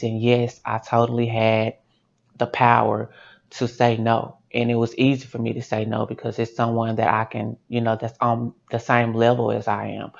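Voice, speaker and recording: A man giving earnest, measured testimony in a documentary interview. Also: mid-range voice, creaky, lo-fi sound.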